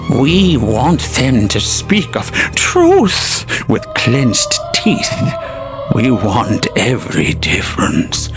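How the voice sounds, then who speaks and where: A man voicing a scornful ancient male demon, with a whispery, sneering delivery. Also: full character voice. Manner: whispery, sneering, swings high and low.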